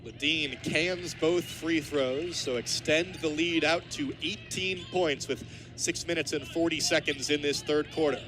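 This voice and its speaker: A man's voice: on the high side, unhurried, over music. A man in his twenties calling live basketball play-by-play on the radio. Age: 20s.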